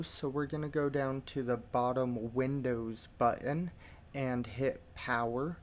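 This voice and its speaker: A man hosting a tech tutorial, calmly explaining each step of a computer walkthrough with occasional ums. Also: medium pitch. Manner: unhurried step-by-step explaining with fillers. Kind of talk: screen-recorded how-to walkthrough.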